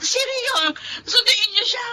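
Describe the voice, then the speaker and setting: Shrill, stubborn woman in labour. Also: measured pace, pushed and bright, a little hiss or hum, exaggerated voice. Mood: pained, insistent. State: crying, straining in pain. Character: woman in labour, adult daughter, stubborn and demanding. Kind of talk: radio drama acting.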